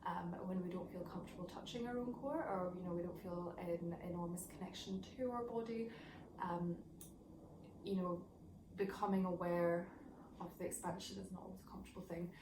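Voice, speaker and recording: A woman leads a gentle voice lesson in a soft, wandering way, her voice still low from the morning. She is heard from a little way off in a room with a clear echo. A faint hum of ambience sits behind her. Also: fairly even intonation, moderate pace. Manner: soft, rambling and reflective, with many fillers. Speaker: singing and voice teacher. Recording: echoey room, mic at a distance.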